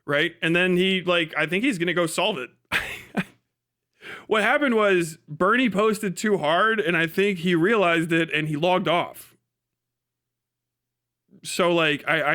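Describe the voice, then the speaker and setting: A man's voice: high voice, measured pace, pushed and bright. A man livestreaming mocking commentary on a video, casual and sweary, with incredulous exclamations.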